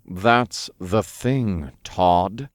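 A man voicing a tired male archangel who speaks in a slow, sighing, exasperated way. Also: low voice, no echo, clean studio sound. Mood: weary.

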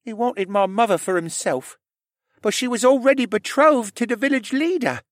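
A man voicing an anxious, tearful young stable boy in an audiobook, with a rough, halting, stammering and pleading delivery. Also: very high-pitched, no echo, studio quality, pitched above the performer's own voice. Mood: hesitant, confiding. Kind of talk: audiobook character acting.

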